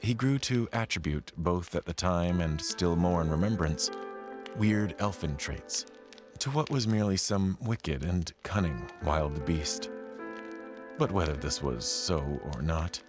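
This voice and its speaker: A man telling a scripted frontier tale as a dramatic narrator, in a measured, formal, literary way. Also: low-pitched, lively intonation. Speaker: storyteller narrating an audio play. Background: music under the voice.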